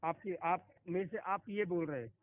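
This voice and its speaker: High-pitched man on a noisy phone line. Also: moderate pace. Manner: insistent, repeats and restarts phrases. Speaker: loan company phone agent. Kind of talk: argumentative phone conversation. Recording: noisy narrowband phone call recording.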